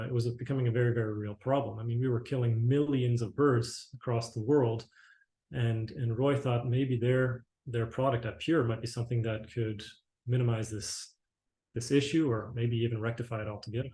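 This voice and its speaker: A man's voice: medium pitch, steady tone, normal speed, dark tone. Guy from canada doing a company webinar, lots of uh and repeats, roomy echo. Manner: rambling, with frequent restarts and fillers. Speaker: company presenter. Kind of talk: webinar presentation. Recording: echoey room.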